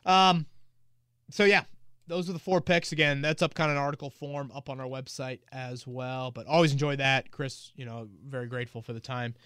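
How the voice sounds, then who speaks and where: A man's voice: medium pitch, fairly even intonation, moderate pace, a bit bright, clear, resonant tone, no room sound, clean studio sound. A man hosting a casual sports podcast, talking in a chatty, rambling way with fillers and restarts. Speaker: podcast host and team reporter.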